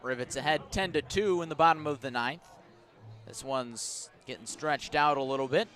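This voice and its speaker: A man with a slightly high voice works as a baseball radio announcer. He calls the game live in a measured way, filling the gaps with description and lifting his voice when the action comes. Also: a bit bright. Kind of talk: live sports commentary with descriptive asides. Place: outdoor ballpark broadcast position above the stands.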